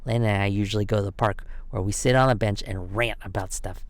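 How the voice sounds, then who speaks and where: A man reading a children's picture book aloud as storyteller, expressive and given to dramatic pauses. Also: on the low side, no room sound.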